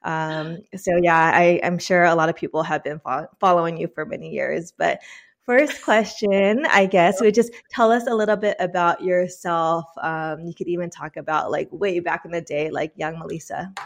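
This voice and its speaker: A woman's voice: on the low side, normal speed. A woman hosting a podcast and interviewing a guest in a bubbly, enthusiastic way. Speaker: podcast host.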